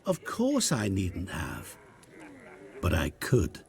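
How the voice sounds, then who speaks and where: Game villain: a silky, mocking wish-trader, slow and sing-song. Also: male voice, deep voice, huge pitch swings, gravelly, full character voice. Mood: sly. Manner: silky, teasing, theatrical. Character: sly, mocking male trader of wishes. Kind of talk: video game cutscene acting. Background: music.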